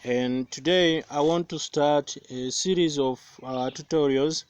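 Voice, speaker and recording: Slow Tanzanian man teaching guitar. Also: on the high side, smooth. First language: Swahili. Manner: slow, careful explaining with many fillers. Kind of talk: guitar tutorial. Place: outdoors by banana plants. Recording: faint guitar playing behind voice.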